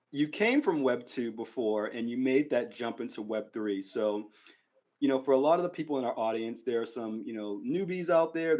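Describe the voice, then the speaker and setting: Male podcast host, middle pitch, rambling hedged questions, casual. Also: darkish tone. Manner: rambling, hedging questions with many "like"s.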